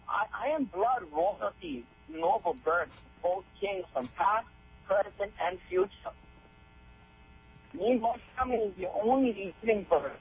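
A man from Hawaii, a native Hawaiian speaker, calls in with a high-pitched voice. He presses his point emphatically and repeats himself. The sound is thin and phone-like, with a faint hum behind it. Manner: emphatic, repetitive, indignant questions. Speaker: caller.

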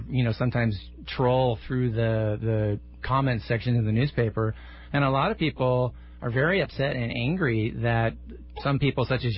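Male radio host, chatty and thoughtful, husky voice, slightly dull lo-fi sound. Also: mid-range voice. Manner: conversational, thoughtful questions with filler words. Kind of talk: radio interview.

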